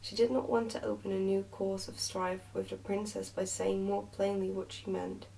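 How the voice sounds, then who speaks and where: A woman reading a storybook aloud as soft ASMR narration. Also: medium pitch, flat delivery, normal speed, vocal fry, far from the mic, slight background noise, rough recording. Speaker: ASMR reader.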